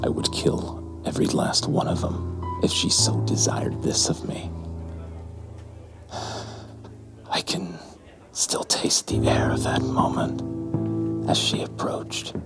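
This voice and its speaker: An actor playing a hard-drinking, lustful barfly in a noir audio drama, with a slow, breathy, brooding delivery. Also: male voice, natural voice. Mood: brooding, infatuated. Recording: music bed behind the voice.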